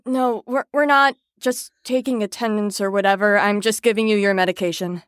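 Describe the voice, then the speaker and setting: A woman's voice: steady tone, normal speed, dry recording, studio quality, lightly acted. A woman playing a peppy but frazzled, sarcastic supervisor of patients in an audio drama. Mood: brisk. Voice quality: high-pitched. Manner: perky, folksy, exasperated when challenged.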